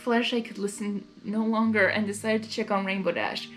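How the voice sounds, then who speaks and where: A woman reading fan fiction aloud in an expressive, giggly way, narrating and reacting as she goes. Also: medium pitch, brisk, faint music behind.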